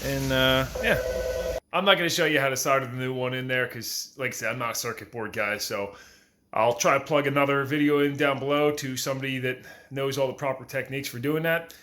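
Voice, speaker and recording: A man's voice: medium pitch, brisk, pushed and bright. A man giving a casual, plainspoken how-to explanation as the host of a hands-on repair tutorial. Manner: plainspoken, informal.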